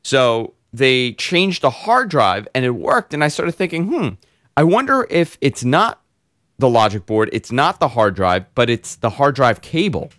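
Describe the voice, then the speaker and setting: A man hosting a casual tech podcast, hoarse and congested, telling long rambling stories full of fillers. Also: medium pitch, a little slow, no echo, studio quality. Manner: rambling, animated storyteller with many fillers. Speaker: host.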